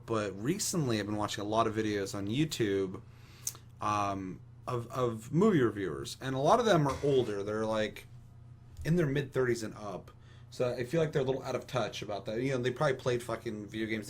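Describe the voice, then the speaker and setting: A man's voice: mid-range voice, a little hiss or hum. A young American man hosting a casual podcast discussion, giving opinions emphatically with lots of casual swearing. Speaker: podcast host.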